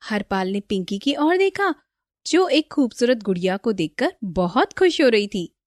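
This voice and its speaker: A woman's voice: medium pitch, sing-song, measured pace, no echo, studio quality. A woman storyteller reading a scripted children's moral story as narrator.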